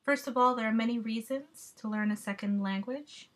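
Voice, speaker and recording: A woman giving a measured, explanatory class presentation, with the odd filler. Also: a little high, fairly bright tone. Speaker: student presenter.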